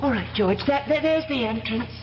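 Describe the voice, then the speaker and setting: A woman's voice: fairly high pitch, moderate pace, soft background music, natural voice. An actress playing a frightened, loving young woman in an old-time radio drama, with a pleading, emotional manner. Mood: anxious. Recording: echoing, dull, hissy vintage radio recording.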